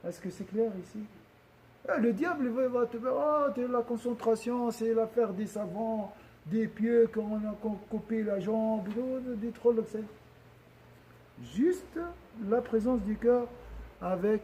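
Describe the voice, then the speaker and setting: Male religious teacher, high-pitched but mellow in tone, talking to a room, checks in with listeners, loops back on his words. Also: fairly even intonation, measured pace, slight background noise, rough recording. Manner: questions the audience often, repeats and restarts phrases. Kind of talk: religious lesson to a gathering.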